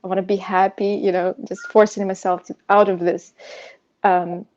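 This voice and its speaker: A woman from Russia, a native Russian speaker, telling a personal story as an interview guest in a halting, searching way. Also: medium pitch, flat delivery, a little mellow, highs cut off. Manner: hesitant, halting, frequent "you know". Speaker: podcast guest sharing personal insomnia story; pianist.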